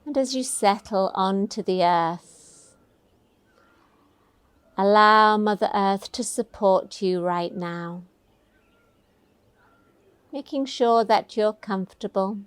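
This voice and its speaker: A woman teaching a guided meditation, giving gentle, soothing, unhurried instructions. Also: mid-range voice, smooth, no echo.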